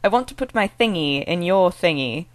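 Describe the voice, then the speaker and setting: A woman from the American Northwest speaking in a put-on British accent, chatting casually as a vlogger in a rambling, halting, amused way. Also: on the low side, animated. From American Northwest (Oregon). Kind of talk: casual chat to camera with read-out one-liners.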